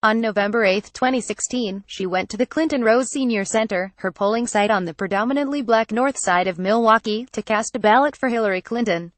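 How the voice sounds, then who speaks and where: A woman reading a long magazine news feature aloud in a measured, even narration. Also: on the high side, no room sound.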